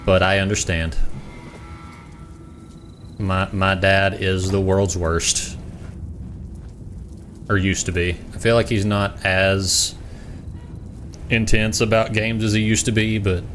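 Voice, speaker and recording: A man's voice: low-pitched, level tone, takes it slowly, long pauses, a bit bright, a little hiss or hum. A young man from the American South with a Southern accent, streaming a game and rambling in self-mocking asides. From Southern United States. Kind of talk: casual gameplay commentary. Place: home room.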